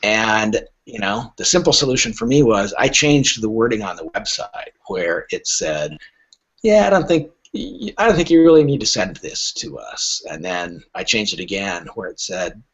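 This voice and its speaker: A man's voice: on the low side, sing-song, normal speed, fairly bright tone, husky, highs cut off. A middle-aged man speaking conversationally as an interview guest, wry and joking, with anecdotal answers. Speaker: literary agent, podcast guest.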